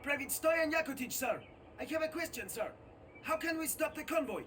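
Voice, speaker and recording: An actor playing a timid young army private in a video game, formal and deferential. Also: male voice, very high-pitched, lively intonation, moderate pace, fairly bright tone, slight background noise. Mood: nervous, respectful. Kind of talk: video game cutscene acting.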